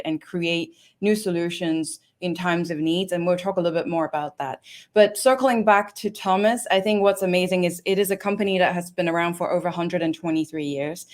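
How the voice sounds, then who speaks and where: A woman from Hong Kong with a Hong Kong English accent, answering interview questions in a thoughtful, earnest way with some restarts. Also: on the low side. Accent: Hong Kong English with London influence. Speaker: guest, industry director.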